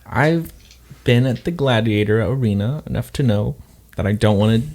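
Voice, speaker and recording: Male player, dry humor, calm delivery. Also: medium pitch, moderate pace, a little hiss or hum. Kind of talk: casual tabletop play.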